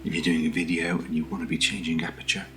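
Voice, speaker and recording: A British man speaking in a soft, breathy near-whisper as he hosts a casual ASMR video, gentle and unhurried, close to the microphone. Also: a bass voice, monotone, music in the background. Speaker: ASMR video host reviewing camera gear. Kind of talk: soft-spoken ASMR, casual unboxing chat.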